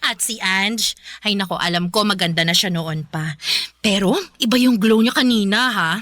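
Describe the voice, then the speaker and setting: A woman's voice: medium pitch, moderate pace, a bit bright, no echo, lightly acted. An actress playing a playful, affectionate Filipino woman in her thirties, a live-in girlfriend, in a radio drama. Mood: happy, relieved.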